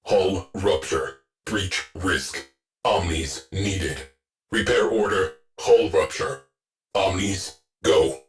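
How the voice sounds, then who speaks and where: Grim shipboard AI, low-pitched, slow deliberate pace, clipped commands, deadpan, video game. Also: male voice, faint music behind. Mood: alert, terse. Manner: clipped, stern, deadpan military delivery. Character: terse, grim military ship artificial intelligence, male, commanding. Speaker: shipboard tactical officer. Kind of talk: video game mission voice lines.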